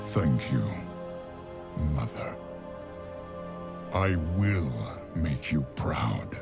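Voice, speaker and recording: A man voicing an orc shaman and former war chief, speaking in a deep, gravelly, earnest way over a music score. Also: sing-song, very slow, full character voice. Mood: reflective. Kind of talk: video game cutscene acting. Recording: game score behind.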